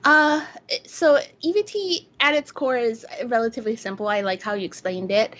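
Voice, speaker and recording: Halting woman guest, dull lo-fi recording. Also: on the high side, fairly bright tone. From Hawaii, United States. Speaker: guest communication researcher. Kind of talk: casual explanatory interview answers. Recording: dull lo-fi sound with faint noise.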